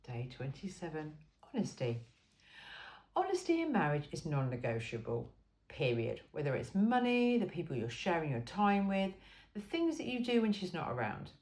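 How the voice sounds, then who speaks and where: A woman's voice: deep voice, very animated, moderate pace, a little mellow. A woman reading an informal self-help book aloud in an emphatic, cheeky and frank way. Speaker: amateur reader of a book aloud.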